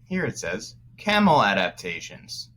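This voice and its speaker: A man teaching young children through a read-aloud, pausing to explain words as he goes. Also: fairly low pitch, huge pitch swings, pushed and bright. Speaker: first-grade teacher.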